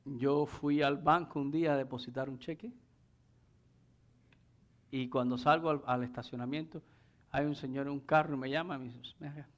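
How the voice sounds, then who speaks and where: A man's voice: medium pitch, steady tone, moderate pace, long pauses, dull audio. A man preaching a sermon in a didactic manner, explaining scripture and putting rhetorical questions to his listeners. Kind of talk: sermon with scripture exposition and anecdotes.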